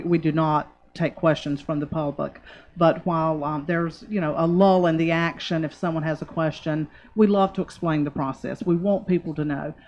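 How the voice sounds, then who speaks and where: A woman's voice: low-pitched, a little mellow, dull audio. A woman election official speaking as a radio interview guest, explaining a process patiently with frequent ums. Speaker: county election board official. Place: radio studio.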